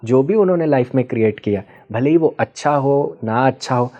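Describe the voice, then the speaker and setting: Male expert guest, warm low-key timbre, teacherly and patient, code-switches into English. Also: normal speed, highs cut off. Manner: patient teacherly explaining with frequent checking questions; mixes Hindi with English terms. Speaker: guest expert on ancestral healing. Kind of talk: explanatory interview answers.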